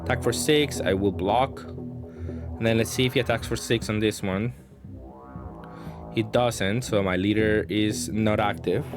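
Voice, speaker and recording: A man's voice: lowish voice, a little slow, pauses often. Man thinking aloud over music. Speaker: card game player presenting match commentary. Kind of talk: casual gameplay commentary.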